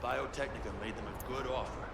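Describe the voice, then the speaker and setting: An actor playing a cautious, level-headed male nomad leader in a cinematic video game, weary and measured, with loud ambience behind him. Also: medium pitch, fairly even intonation, vocal fry, heavy background noise, lightly acted.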